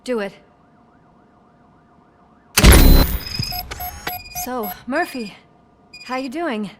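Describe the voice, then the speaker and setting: Tough, high-voiced policewoman. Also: animated, unhurried, noisy background. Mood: wry. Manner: direct, no-nonsense. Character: tough, brisk, wry policewoman, loyal partner.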